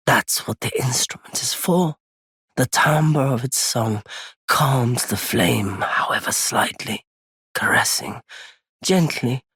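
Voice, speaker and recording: An actor playing a wary, proud nomadic merchant in a video game, with a husky, gravelly voice and a weighty, theatrical delivery. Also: male voice, mid-range voice, pushed and bright, dry recording, heavily acted. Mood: wistful. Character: wary, courteous nomadic male merchant turned bitter and vengeful. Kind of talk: scripted game character lines.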